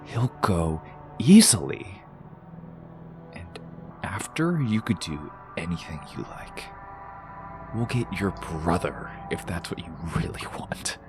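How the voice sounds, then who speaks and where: Brooding deep-voiced rebel killer. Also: male voice, very animated, takes it slowly, long pauses, over music, full character voice. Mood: pleading, earnest. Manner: intense, breathy, dropping into whispers. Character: brooding, wounded male rebel killer, persuasive and menacing. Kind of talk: audio drama acting.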